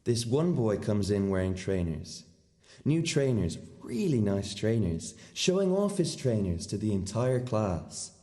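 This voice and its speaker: A man plays a naive, misunderstood teenage schoolboy in a radio play monologue. He talks in slow, clipped fragments with a mellow tone and an earnest deadpan. The voice rings in long hall reverb. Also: fairly low pitch. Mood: puzzled, matter-of-fact.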